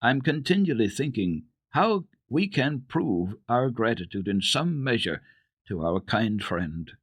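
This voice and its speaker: A man voicing a grateful, courteous gentleman father in a storybook, warm, formal and earnest. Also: mid-range voice, very animated, unhurried, slightly rough, no echo, clean studio sound.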